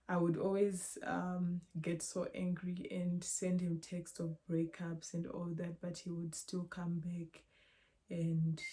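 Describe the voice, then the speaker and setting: A young woman from Zimbabwe, speaking in a halting, emotional, reflective way in a personal vlog. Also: fairly low pitch, dark tone. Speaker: vlogger.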